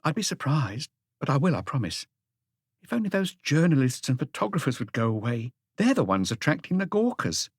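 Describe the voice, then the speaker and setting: A man voicing an apologetic, weary female concierge in an audiobook, with a hushed, complaining manner. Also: a little high, very animated, a little fast, husky, no echo, studio quality, voice pushed up, full character voice. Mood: exasperated.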